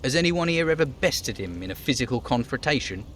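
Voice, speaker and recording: A man's voice: mid-range voice, animated, fairly quick, a bit bright, a little hiss or hum, pitched above the performer's own voice, natural voice. A man voicing a police detective in a video game, asking questions in a hesitant, matter-of-fact way. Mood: sceptical. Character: male police detective, inquisitive and awkwardly evasive.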